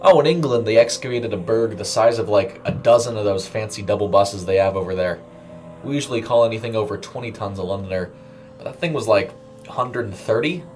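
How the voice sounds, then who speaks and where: A man's voice: lowish voice, fairly quick, a little mellow, soft background music, natural voice. A man voicing a brash, playful woman worker in her early thirties, slangy and teasing, in an audio story. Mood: chatty.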